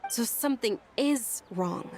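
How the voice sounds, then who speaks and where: An actress playing a well-bred, caring young noble lady in a video game, with a polite, gentle, articulate manner. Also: a little high, animated, a little slow. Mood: concerned, thoughtful. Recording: faint game music behind the voice.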